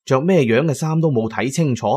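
A man narrating an adventure audio drama in a colloquial, expressive first-person style. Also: mid-range voice, brisk, slightly rough. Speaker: storyteller, narrator.

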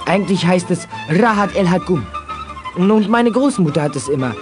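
A man's voice: high-pitched, expressive, normal speed, music in the background. A young male actor playing a cheerful, clever schoolboy in a children's detective radio play. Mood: pleased.

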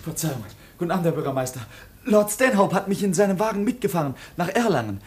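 Radio drama casting: artless, childlike youth, very animated intonation, slight recording noise. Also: male voice, sits high, fast talker. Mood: eager, naive. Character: eager, naive foundling youth, artless and childlike. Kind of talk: German radio play acting.

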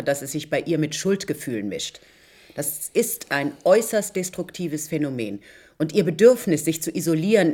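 Low-voiced, clinical woman doctor. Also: darkish tone. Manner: measured, formal. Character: composed, clinical female doctor. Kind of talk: German radio play acting.

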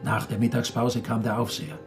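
A man's voice: mid-range voice, little pitch movement, brisk. A man narrating a literary radio drama from a script. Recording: music under the voice.

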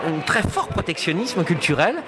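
A man's voice: on the high side, animated, normal speed, noisy background. A man speaking in a radio interview about culture, hesitant, with many fillers and restarts. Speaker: cultural attaché at an embassy.

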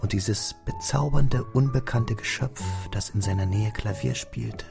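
A man's voice: fairly low pitch, measured pace, vocal fry. A man giving composed, scripted literary narration as the narrator of a radio drama. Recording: studio radio drama with music behind. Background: music.

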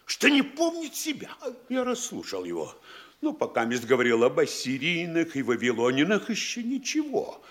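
A pompous, lecturing town mayor. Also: male voice, medium pitch, pushed and bright, full character voice. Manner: lecturing, scolding, theatrical. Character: pompous self-important male town mayor, middle-aged. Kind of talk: classic stage radio play acting.

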